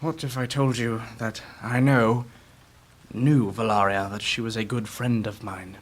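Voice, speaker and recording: Old male adventurer for fantasy audio drama, played by a man: emotional, haunted, grave, medium register, halting delivery. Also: mid-range voice, steady tone, lightly acted. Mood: sorrowful.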